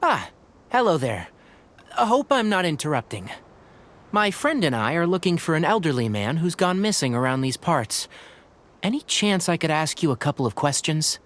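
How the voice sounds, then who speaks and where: An actor playing a polite young traveler in a video game cutscene, with a courteous but wary manner. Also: male voice, on the high side, very animated, moderate pace, fairly bright tone. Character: polite young traveler asking after a missing man.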